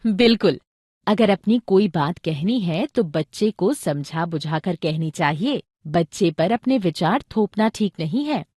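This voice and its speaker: A woman playing a practical, caring mother who explains things clearly and expressively in an educational drama. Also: lowish voice, expressive, a little slow, a little mellow, no room sound, studio quality. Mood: earnest, instructive. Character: practical, caring Indian mother explaining parenting to her husband. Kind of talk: scripted educational audio drama dialogue.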